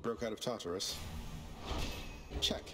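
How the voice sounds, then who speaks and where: Man playing a low-voiced cocky young prince. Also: unhurried, close to an everyday voice. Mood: cool, wry. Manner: wry, clipped, self-assured remarks. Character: cocky, defiant young underworld prince, wry and rebellious. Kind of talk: video game character barks. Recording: game music behind voice.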